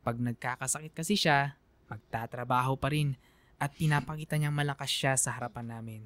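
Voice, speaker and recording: A woman's voice: a bass voice, a little fast, a bit bright, no room sound. A woman with a Filipino accent giving an emotive, reflective scripted narration for a radio drama.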